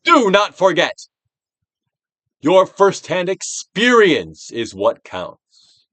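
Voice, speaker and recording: A man's voice: high voice, wildly swooping pitch, no room sound. Male narrator for self-help audiobook: trained stage actor, dramatic and emphatic, wide-ranging intonation, big dynamic contrasts, very dry close sound. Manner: emphatic, drawn-out phrases with big dynamic swells and hushed drops. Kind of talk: theatrical dramatic audiobook reading.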